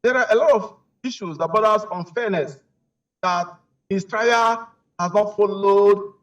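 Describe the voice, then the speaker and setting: A man's voice: high-pitched, unhurried, fairly bright tone. A man giving emphatic live news commentary as a remote guest, full of rhetorical questions and restarts. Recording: distant, dull lo-fi remote line.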